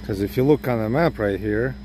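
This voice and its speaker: Ukrainian man rambling, voice going hoarse. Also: fairly low pitch, a little fast, mellow, slight background noise. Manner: rambling, thinking aloud with fillers. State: losing his voice. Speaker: drone hobbyist vlogger. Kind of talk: casual vlog chat. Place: outdoors in a parking lot.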